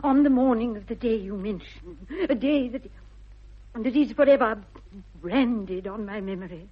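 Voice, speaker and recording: An actress playing a prim, easily flustered middle-aged governess with an English accent, speaking haltingly and precisely in a radio comedy. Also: female voice, a little high, husky. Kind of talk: 1960s British radio comedy acting. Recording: dull vintage radio recording.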